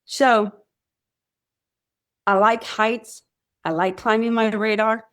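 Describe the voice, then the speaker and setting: A woman's voice: on the high side, takes it slowly, smooth. Woman telling her story. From Mexico. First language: Spanish. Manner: animated storytelling, acts out quoted voices. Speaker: radar technician guest. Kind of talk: conversational interview.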